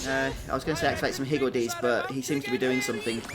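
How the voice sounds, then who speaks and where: A man's voice: medium pitch, fairly even intonation, racing. A man giving chatty live commentary on a video game, thinking aloud and often tagging questions onto his remarks. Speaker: game streamer. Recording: game music behind the voice. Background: video game music.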